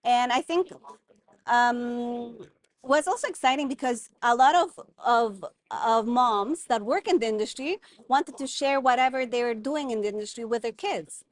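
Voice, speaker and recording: Earnest woman, slightly high voice. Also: normal speed. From Guatemala. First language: German. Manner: thoughtful, earnest, many fillers and restarts. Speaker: guest, mining consultant. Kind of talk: conversational interview.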